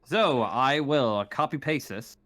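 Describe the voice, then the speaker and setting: A man running a casual online tabletop game as game master, narrating and ruling in a halting, hesitant way. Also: mid-range voice, expressive, fairly bright tone, no room sound.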